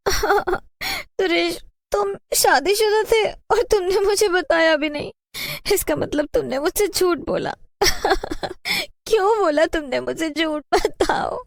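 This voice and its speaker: Woman acting humble young food seller, very high voice, pleading, cartoon drama, dry sound. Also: expressive, takes it slowly, vocal fry, dry recording, full character voice. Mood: hurt tearful. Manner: pleading, earnest. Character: poor, meek young food-seller bride, wronged and submissive.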